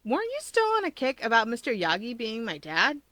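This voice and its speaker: A woman's voice: a little high, wildly swooping pitch, fairly quick, bright, forceful tone, no echo, voice pushed up, heavily acted. A woman voicing a skeptical, breezy teenage schoolboy with a teasing, dismissive way of talking in a fan fiction reading. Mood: amused. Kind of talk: fan fiction audiobook character voice.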